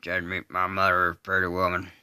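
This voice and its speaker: A slow-witted country man from a film drama, confessing without remorse in a Southern US drawl. The voice is low, hard-edged and resonant. He speaks in flat, halting phrases, broken by grunted hums. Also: clean tone, no echo. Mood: flat, matter-of-fact. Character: slow, simple-minded rural man confessing a killing, flatly unrepentant. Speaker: amateur voice artist. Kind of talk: dramatic monologue re-enactment of a film scene.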